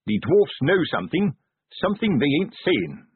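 A man voicing a slow, stolid, earnest male sergeant who uses rough working-class grammar. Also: a little high, sing-song, no echo, voice pushed up, heavily acted. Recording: thin phone-like dry recording.

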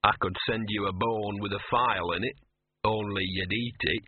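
A man voicing a small, cynical, self-pitying talking dog with a hoarse, slurred, grumbling voice in an audiobook. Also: fairly low pitch, fairly even intonation, moderate pace, no echo, narrow, tinny audio. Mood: sardonic grumbling. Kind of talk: audiobook character voice.

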